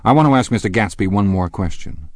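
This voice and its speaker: Man acting a blustering, deep-voiced husband. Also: expressive, quick pace, darkish tone, dry recording, studio quality, lightly acted. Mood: indignant. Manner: incredulous, scornful, snapping. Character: blustering, incredulous, insulting husband, pompous and suspicious. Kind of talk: audiobook character dialogue.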